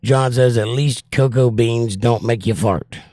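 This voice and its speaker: A man's voice: medium pitch, a little slow, warm tone, no echo, clean studio sound. An older man, casually reading viewer comments aloud on his livestream and answering them. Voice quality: voice worn from years of trouble. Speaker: livestream host reading chat.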